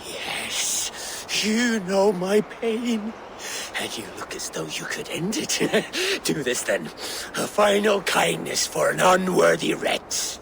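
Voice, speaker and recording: A man's voice: high-pitched, big pitch swings, takes it slowly, gravelly, background noise, heavily acted. A man playing a tormented, deranged Viking warrior in a video game, theatrical and erratic. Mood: anguished, pleading. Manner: theatrical, erratic swings from growls to cackles. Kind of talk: dramatic video game acting.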